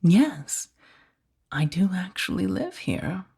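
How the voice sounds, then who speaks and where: Low, breathy female mafia boss, acted by a woman. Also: big pitch swings, full character voice. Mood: amused, condescending. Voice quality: soft, breathy, often slipping toward whisper. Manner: measured, commanding, teasingly menacing. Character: powerful female mafia boss, coolly controlling, seductive kidnapper. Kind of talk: soft-spoken ASMR roleplay addressed to the listener.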